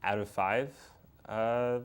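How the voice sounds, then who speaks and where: Young man, lowish pitch, vocal fry, casual film review chat, co-host. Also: fairly even intonation, slow.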